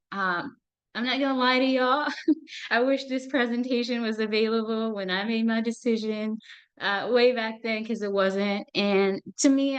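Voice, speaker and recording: Low-voiced woman psychologist, earnest talk. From Ethiopia. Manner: earnest, warm-hearted, with frequent fillers and restarts. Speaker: clinical psychologist and professor. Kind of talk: testimony talk to an audience.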